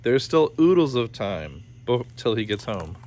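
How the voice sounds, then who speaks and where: A man's voice: mid-range voice, very animated, unhurried, darkish tone. Man playing an easygoing, teasing storybook boy. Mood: breezy, teasing. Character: easygoing, teasing young boy, pleased to be in charge.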